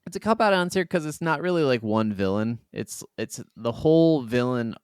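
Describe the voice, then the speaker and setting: A man's voice: mid-range voice, animated, moderate pace, a little mellow, clean tone, dry recording, studio quality. A man co-hosting a podcast, chatting casually about video games in an emphatic, rambling, sweary way. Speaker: podcast co-host.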